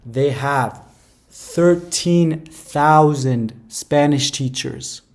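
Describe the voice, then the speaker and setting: A man's voice: fairly high pitch, drawn-out words. A man giving casual, rambling how-to advice, speaking informally and emphatically and often repeating phrases for stress. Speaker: language-learning video creator.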